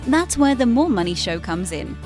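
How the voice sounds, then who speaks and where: A woman's voice: a little high, big pitch swings, moderate pace, darkish tone, faint music behind. A woman reading a polished, upbeat scripted intro for a podcast. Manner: polished, upbeat promo delivery. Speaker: show intro announcer.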